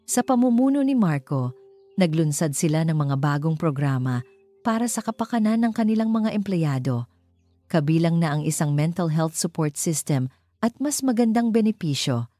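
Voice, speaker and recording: Female story narrator, Filipino accent, mellow low register, reading scripted romance fiction. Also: lively intonation, normal speed, soft background music.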